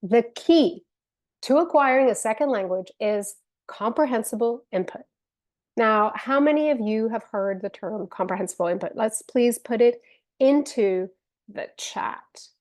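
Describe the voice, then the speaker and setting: A woman's voice: a little high, a little slow, darkish tone. A woman presenting an online teacher-training webinar in an earnest way, with some fillers and restarts, speaking into a computer mic on a video call. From family from Venezuela, raised in Spain and the UK. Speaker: webinar presenter, company co-founder.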